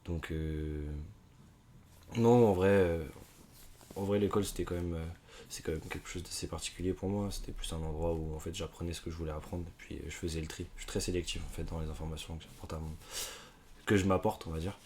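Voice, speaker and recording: A man in his twenties, born in Canada and raised in France, telling his story as a podcast guest in a hesitant, unhurried way. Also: low-pitched, fairly even intonation, a little mellow, slight background noise. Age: 20s. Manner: hesitant, many fillers and restarts, unhurried. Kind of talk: casual conversation.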